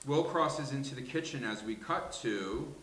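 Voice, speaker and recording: A man's voice: mid-range voice, expressive, normal speed, fairly bright tone. A man reading stage directions as scripted narration at a live table read, in a roomy space with hiss.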